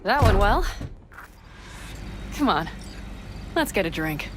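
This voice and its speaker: An actress playing a tough, hot-headed young nomad smuggler in a video game, with blunt, colloquial, sweary delivery. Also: female voice, medium pitch, very animated, slow. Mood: relaxed. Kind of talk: video game cutscene acting. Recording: clear ambient vehicle noise behind.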